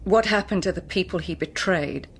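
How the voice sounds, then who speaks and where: An actress as a terse, lowish-voiced guarded woman. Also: moderate pace, a little hiss or hum, lightly acted. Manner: terse, cool, incredulous. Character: guarded, sceptical English spy's wife and mother. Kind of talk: radio drama acting.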